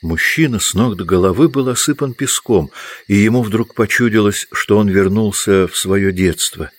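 Male narrator, deep low voice, measured storytelling, scripted literary audiobook style.

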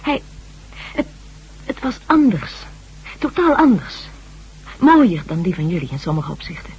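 A woman's voice: lowish voice, very animated, measured pace, a little mellow, slightly rough, heavily acted. An actress playing an earnest, wistful woman survivor of an ancient people in a Dutch radio drama. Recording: dull, humming old radio recording.

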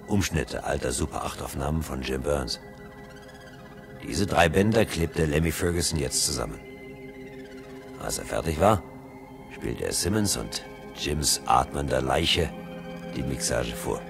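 Really deep-voiced guy narrating a 70s sci-fi radio play, measured, electronic music underneath. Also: expressive, vocal fry, heavily acted. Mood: measured, intent. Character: male first-person narrator of a science fiction radio play. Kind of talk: scripted narration, 1970s German radio drama.

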